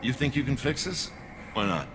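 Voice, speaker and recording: A man's voice: mid-range voice, sing-song, normal speed, a bit bright, a little hiss or hum. An actor playing a surly male checkpoint guard who brushes people off. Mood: dismissive. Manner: gruff, dismissive.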